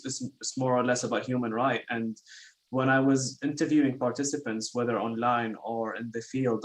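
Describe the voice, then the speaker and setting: A man from Iraq speaking earnestly on an online webinar panel, halting and restarting as he thinks. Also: mid-range voice, rough recording.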